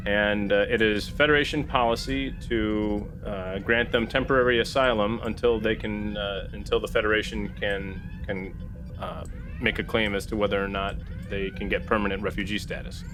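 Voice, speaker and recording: A man playing a diplomatic male starship captain in a sci-fi tabletop game, speaking formally and haltingly. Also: on the low side, fairly even intonation, background noise, lightly acted. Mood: diplomatic stalling.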